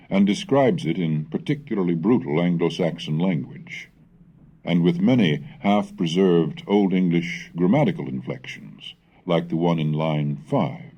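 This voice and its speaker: A man reading a scholarly script in a measured, formal, lecturing manner, as narrator of a language history lesson. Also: low-pitched, dark tone, husky, dull audio. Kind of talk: scholarly scripted narration and recitation of old texts.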